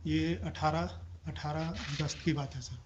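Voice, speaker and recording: A man's voice: on the high side, little pitch movement, measured pace, a crowd in the background. A man with a Bihari Indian accent giving formal, defensive testimony as a police officer, deferentially addressing his superior as sir. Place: courtroom. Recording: muffled courtroom audio with clear noise.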